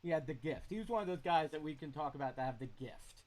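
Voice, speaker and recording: A man's voice: fairly high pitch, lively intonation, fast talker, darkish tone. A man on a video call chatting about his guitar years, reflective and rambling with frequent restarts. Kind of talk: casual chat.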